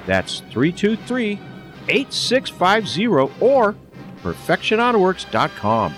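A man's voice: high-pitched, wildly swooping pitch, slow, a bit bright, faint music behind. A man reading a scripted radio ad in an emphatic way. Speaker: ad announcer.

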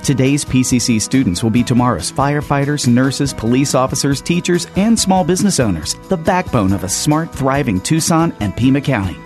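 Brief: male announcer, animated pitch, scripted ad, soft guitar under. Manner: smooth, measured promotional delivery. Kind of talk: scripted college ad read.

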